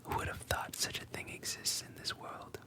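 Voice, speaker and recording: A whispering narrator voicing a mocking, greedy bandit leader in a soft, breathy hush. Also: moderate pace, close to an everyday voice. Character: sneering bandit leader, adult man.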